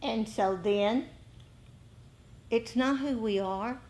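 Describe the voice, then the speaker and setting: Slow-paced woman teaching meditation. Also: on the high side, a little mellow. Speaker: meditation teacher giving a dharma talk. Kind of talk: informal spoken dharma lesson to a group. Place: indoor group meeting.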